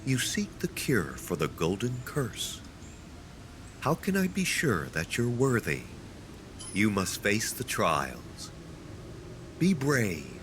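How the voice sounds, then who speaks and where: An actor playing a solemn mystical guardian of sacred grounds in a video game, speaking gravely and ceremoniously. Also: male voice, on the low side, wildly swooping pitch, takes it slowly, pauses often, noisy background, full character voice. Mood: stern, testing. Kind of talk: dramatic video game acting.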